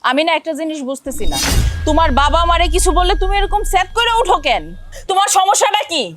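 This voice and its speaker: A woman playing a shrill, indignant young wife. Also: fast talker, a bit bright, a little hiss or hum. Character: young wife, sharp-tongued. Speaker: actress in a family drama.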